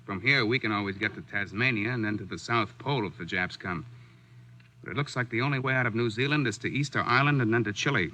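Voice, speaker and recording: An actor playing a wry, jaunty American war reporter in a 1940s radio drama. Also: male voice, lowish voice, fast, sharp tone, a little hiss or hum, low-quality recording.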